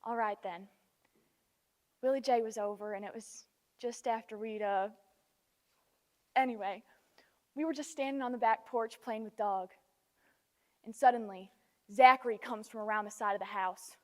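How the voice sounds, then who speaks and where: A teenage female student playing a distraught Southern wife, telling her story haltingly in a dramatic stage monologue with emotional swells. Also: on the high side, darkish tone, close to an everyday voice. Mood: shaken recollection. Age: teens. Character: distraught, hesitant Southern wife recounting a violent confrontation. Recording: echoey hall with long reverb.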